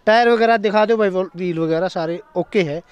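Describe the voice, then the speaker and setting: A man's voice: sits high, a little fast, clear, resonant tone, dry recording, highs cut off. A man, hosting a casual walkaround of a used car lot outdoors, chatting and talking up prices into a handheld mic. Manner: chatty, hyping prices, asks dealer questions. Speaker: host of a used car channel. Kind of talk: casual walkaround sales video.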